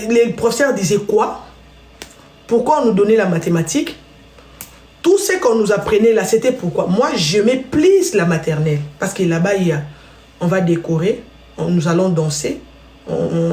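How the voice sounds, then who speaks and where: A woman's voice: mid-range voice, animated, moderate pace, darkish tone, reverberant. A Congolese woman hosting a live stream, chatting and telling stories straight to her viewers. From Congo (DRC). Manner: chatty, storytelling, addresses viewers directly. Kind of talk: casual live-stream chat.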